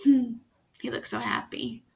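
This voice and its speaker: A woman reading a children's picture book aloud, gently pausing to ask her young listeners questions. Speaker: storytime reader.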